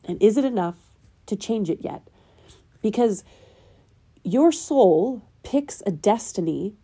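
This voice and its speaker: Nasal-sounding woman with a dark mellow voice. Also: mid-range voice, breathy edge. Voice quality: slightly nasal. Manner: earnest, probing rhetorical questions. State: getting over a cold. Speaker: podcast host. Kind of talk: spiritual self-help monologue.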